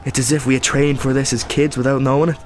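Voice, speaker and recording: An actor playing an eager, proud young Irish rebel volunteer, delivering a radio play monologue. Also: male voice, mid-range voice, little pitch movement, fast, slight background noise, close to an everyday voice. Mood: resolute, excited.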